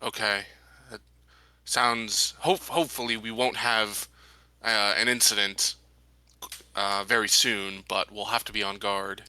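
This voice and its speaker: A man playing a tabletop game, talking conversationally and somewhat haltingly as he plays. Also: fairly low pitch, fairly even intonation, bright, forceful tone, clear, resonant tone, no room sound.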